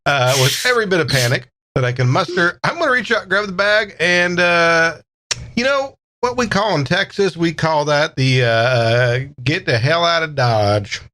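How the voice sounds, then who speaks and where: A man's voice: fairly high pitch, expressive, moderate pace, bright, forceful tone. A man playing a tabletop game, improvising casually in a playful, folksy, joking manner.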